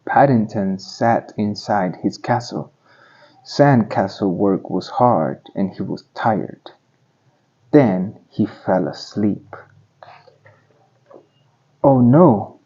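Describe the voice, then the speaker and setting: A man narrating a children's storybook aloud in simple, deliberate phrasing for young listeners. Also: lowish voice, dark tone, slightly rough, highs cut off.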